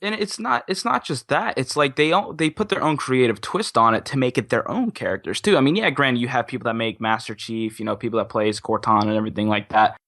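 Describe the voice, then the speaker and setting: Bright-voiced young man chatting enthusiastically. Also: mid-range voice, lively intonation, fast talker, few pauses, dry recording, clean studio sound. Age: young adult. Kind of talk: casual enthusiastic chat.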